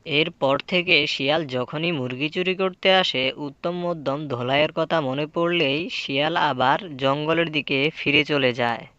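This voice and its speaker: Bright-voiced male folktale narrator. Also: a little high, unhurried, dry recording, muffled recording. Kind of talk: scripted folktale narration for a cartoon.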